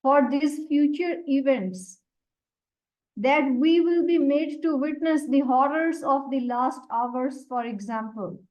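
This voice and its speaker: A woman whose first language is Urdu, teaching a Quran lesson and explaining Arabic words one by one in a deliberate way. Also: high voice, a little mellow. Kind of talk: slow explanatory religious lesson. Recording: dull lo-fi recording.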